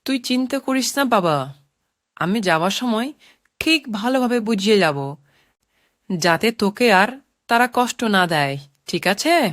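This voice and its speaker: A woman voicing a loving, sorrowful mother ghost in a cartoon, with a gentle, soothing manner. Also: medium pitch, expressive, unhurried, pauses often, no echo, clean studio sound. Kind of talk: Bengali cartoon voice acting.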